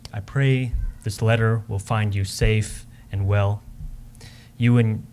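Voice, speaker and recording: A man's voice: lowish voice, steady tone, slow pace, darkish tone. A man playing a homesick Civil War soldier, reading a formal, earnest letter to his wife on stage. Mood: longing, earnest. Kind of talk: dramatic reading of a wartime letter. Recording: live stage recording with background noise.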